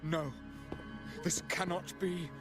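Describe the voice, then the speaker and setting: An actor playing a fanatical, smug villainous cultist in a video game, declaiming in a grandiose, menacing manner. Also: male voice, high-pitched, steady tone, slow pace, over music. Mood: desperate disbelief. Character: fanatical villainous cultist, smug, theatrical, devout to dark god.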